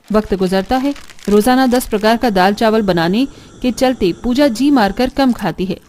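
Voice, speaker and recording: A woman's voice: a little high, a little mellow. A woman narrator reading a scripted family story for a cartoon video.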